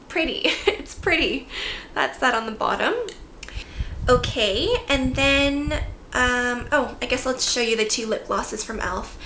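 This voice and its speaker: Bright, high-voiced young woman vlogging. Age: 20s. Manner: chatty, self-interrupting asides. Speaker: beauty vlogger. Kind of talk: casual chat to camera showing a product haul. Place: small bare-walled room indoors. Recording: echoey room with faint background noise.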